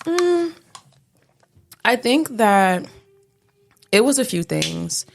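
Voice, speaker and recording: A young American woman with a deep alto voice, speaking in a composed, slightly monotone way as a casual interview guest. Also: very slow, vocal fry, faint music behind. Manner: composed, serious, somewhat monotone. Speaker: guest, rapper and singer.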